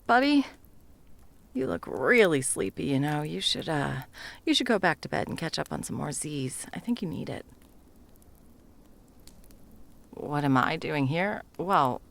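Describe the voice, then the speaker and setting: A woman's voice: sits low, gravelly, fairly bright tone, exaggerated voice. A woman playing a frazzled, sarcastic, foul-mouthed Christmas delivery elf in a whimsical comedic ASMR roleplay, muttering and often dropping to whispers. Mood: awkward, caught out.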